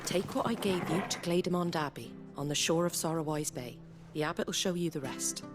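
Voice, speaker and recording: A woman's voice: fairly low pitch, a little fast, natural voice. An actress playing a jaded, blunt but compassionate tavern woman in a fantasy game, earthy and plain-spoken. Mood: grave, directive. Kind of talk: video game drama dialogue. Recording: music under the voice.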